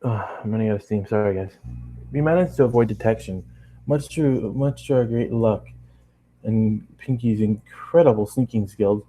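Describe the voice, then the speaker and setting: Man, amateur story reader, low pitch, dark mellow tone, tired, stumbling delivery. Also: normal speed, faint music behind, poor audio. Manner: stumbling, often rereading phrases. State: tired, running out of steam. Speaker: amateur fan-fiction reader. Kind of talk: story narration read aloud.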